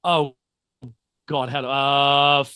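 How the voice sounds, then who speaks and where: A man's voice: medium pitch, flat delivery, slow pace, pushed and bright, clean tone, no echo, studio quality. A man hosting as game master, chatting casually in a rambling, jokey, self-deprecating way. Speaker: game master and stream host. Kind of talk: casual stream sign-off chat.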